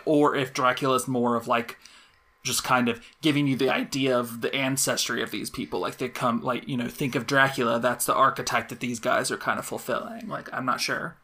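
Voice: mid-range voice, normal speed, pushed and bright. A podcast co-host chatting casually and analytically about a film, in a conversational, thinking-aloud way.

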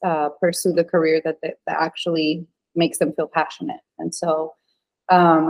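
A woman's voice: lowish voice, poor audio. A woman from Venezuela who grew up in Utah, chatting earnestly as a podcast guest, with lots of fillers and easy laughs. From Venezuela, raised in Utah. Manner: warm, earnest, laughs easily, many fillers. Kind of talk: casual interview chat.

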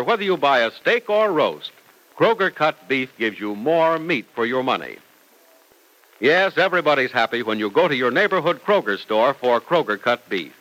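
Animated male vintage radio announcer. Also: poor audio. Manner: emphatic, polished sales pitch. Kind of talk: 1940s-50s radio announcing, scripted.

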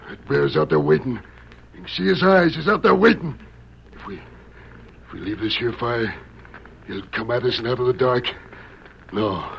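Gravelly, panicky western outlaw. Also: male voice, mid-range voice, sing-song, normal speed, pauses often. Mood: panicked. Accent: American Western drawl. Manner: agitated, fearful, raving. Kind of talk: old radio western acting. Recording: dull, noisy old radio recording.

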